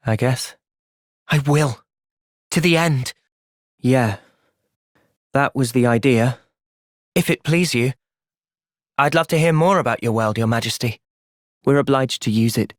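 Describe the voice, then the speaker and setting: A man playing an earnest, gentle, resolute young soldier in video game cutscenes. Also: mid-range voice, slow pace, long pauses, dry recording, clean studio sound, lightly acted. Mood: calm. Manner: earnest, thoughtful. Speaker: voice actor.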